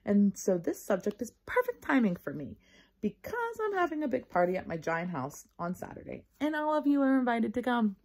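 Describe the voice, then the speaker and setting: Woman as bossy little schoolgirl, boastful, huffy, high swooping pitch, storybook character. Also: very animated, moderate pace, darkish tone. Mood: gushing. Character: excitable bossy rich first-grade girl, boastful and huffy. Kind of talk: children's read-aloud character voice.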